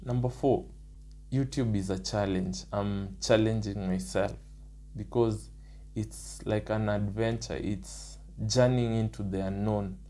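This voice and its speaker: A young man with a Kenyan accent, his voice rough from the early morning, talking through his points one by one in a casual vlog. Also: on the low side, fairly even intonation, unhurried, dark tone. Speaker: nursing student.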